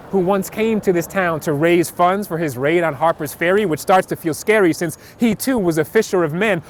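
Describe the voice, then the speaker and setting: A man with a notably high voice performs slam poetry with emphatic, rhythmic phrasing. His delivery is theatrical and dramatic. It is recorded outdoors, with clear city and vehicle noise around him. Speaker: spoken word poet.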